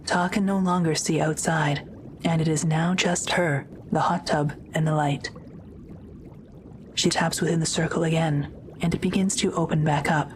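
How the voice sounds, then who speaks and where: A female narrator tells a sci-fi audio drama from a script. Her voice sits fairly low, and there is noticeable ambient noise behind her. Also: steady tone, normal speed.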